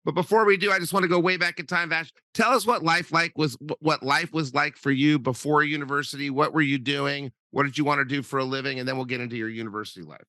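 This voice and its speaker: An upbeat man from the United States hosts a podcast interview, joking and talking in quick bursts. His voice is bright and forceful and a little higher than most men's. The sound is dry and close to the mic. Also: steady tone, no room sound. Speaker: podcast host.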